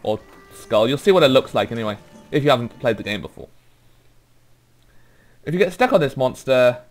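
Welsh guy rambling through a game video, relaxed, lots of ums, quiet game music behind. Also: medium pitch, fairly quick. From Wales. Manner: rambling, laid-back, trails off with ums. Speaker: gaming YouTuber. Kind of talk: casual let's play commentary.

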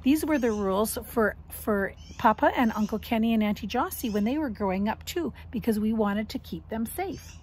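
A middle-aged woman reading a children's storybook aloud in an expressive, instructive way, as if to young grandchildren. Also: on the high side, moderate pace, few pauses, a little hiss or hum. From Canada. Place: outdoors on a wooden deck among trees.

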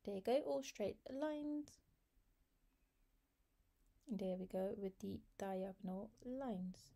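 A woman's voice: medium pitch, slow pace, creaky, warm tone. A woman hosting a home cooking video, chatting through each step in a casual voice-over. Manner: chatty, talks viewers through steps with fillers. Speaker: cooking channel host.

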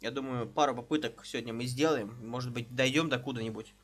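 A man's voice: medium pitch, steady tone, quick pace, fairly bright tone, slight background noise. A man in his thirties on a live stream, chatting casually from a small room into a headset and greeting viewers one by one. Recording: game music under the voice.

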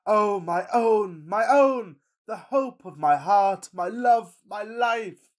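A man playing a fussy, passionate Victorian gentleman in a farcical radio play, with pompous, declamatory delivery. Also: high-pitched, expressive, slow. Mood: rapturous. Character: melodramatic, fussy Victorian gentleman suitor, self-pitying and passionate.

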